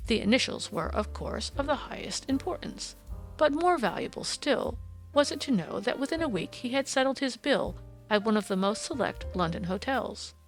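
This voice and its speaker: A woman voicing a brisk, courteous Victorian male detective in an audiobook, precise, formal and explanatory in manner. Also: very high voice, animated, soft background music, poor audio. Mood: explanatory, assured.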